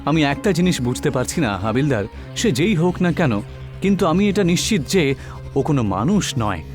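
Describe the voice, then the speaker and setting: A man acts out a determined police inspector in a horror cartoon. He is serious and insistent, giving orders in a slightly high male voice. Faint music plays behind him. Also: big pitch swings, moderate pace, a little mellow. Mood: troubled, puzzled. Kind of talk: dramatic cartoon horror story acting.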